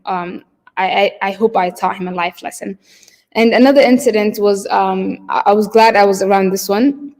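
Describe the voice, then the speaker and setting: A woman telling her own stories at a conference over a video call. She speaks haltingly, circling back with fillers and restarts. Her voice rings out with a long, hall-like reverb. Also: medium pitch, darkish tone. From Somalia. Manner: hesitant, many fillers and restarts. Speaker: keynote storyteller. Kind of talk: personal storytelling.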